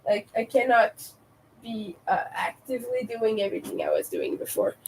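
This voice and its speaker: A woman with a raspy, soft-spoken voice, sick and low on energy, chatting casually and hesitantly on a livestream. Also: wildly swooping pitch, normal speed, slight background noise, poor audio. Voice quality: raspy from vocal cord damage. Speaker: livestream host, crafter.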